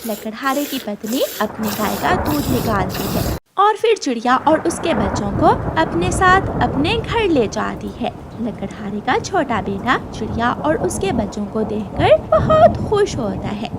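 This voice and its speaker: A woman's voice: on the high side, sing-song, unhurried, very noisy. A woman narrating a scripted children's story as the narrator.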